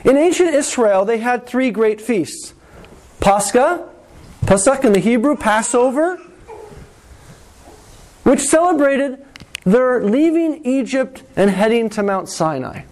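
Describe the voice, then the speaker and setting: A man preaching a sermon to his congregation, slow and deliberate, with dramatic pauses and repetition. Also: very high voice, lively intonation, a little mellow. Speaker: pastor.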